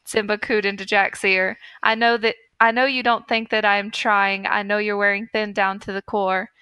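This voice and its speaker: A woman's voice: medium pitch, flat delivery, normal speed, pushed and bright, clean tone, no echo, poor audio. A woman podcast host reading fan fiction aloud in a mock-earnest storytelling manner.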